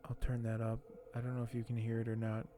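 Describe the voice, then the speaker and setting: A soft-spoken man hosting an ASMR unboxing, talking in a hushed, halting way that often nears a whisper. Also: mid-range voice, monotone, a little hiss or hum. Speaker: ASMR video host.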